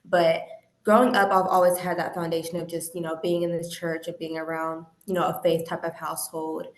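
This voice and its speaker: Young Midwestern woman, lowish voice, earnest and reflective guest sharing testimony; roomy, echoey sound. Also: level tone, a little fast. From Indiana, American Midwest. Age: young adult. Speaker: interview guest. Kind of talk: personal testimony in conversation. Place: remote online call. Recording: roomy, echoey call audio.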